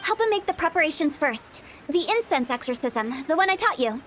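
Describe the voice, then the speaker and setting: A woman's voice: sits high, sing-song, a little fast, a bit bright, a little hiss or hum. An actress playing a playful, shrewd young woman who runs a funeral parlor in a game, confident and teasing. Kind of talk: game voice acting.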